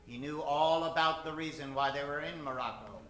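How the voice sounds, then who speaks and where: High-voiced male radio narrator, mock-dramatic. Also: normal speed, sharp tone. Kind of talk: comic old-time radio narration. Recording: roomy stage recording with music.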